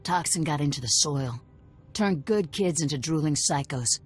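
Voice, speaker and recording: A woman's voice: sits low, animated, moderate pace, breathy edge, soft background music. Wry, tough female rebel leader. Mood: measured, wry. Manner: wry, sardonic, businesslike. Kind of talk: video game voice acting.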